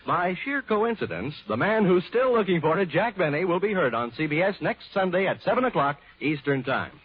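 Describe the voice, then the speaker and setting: A somewhat dull vintage radio recording carries a male announcer with a fairly high voice. He reads his script in a theatrical 1940s network style, measured yet swinging widely in pitch. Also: very animated, breathy edge.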